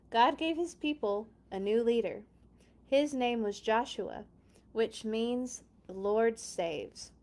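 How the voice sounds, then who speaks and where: A woman hosting a children's read-along, reading a storybook expressively with chatty, playful asides and dramatic emphasis. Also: medium pitch.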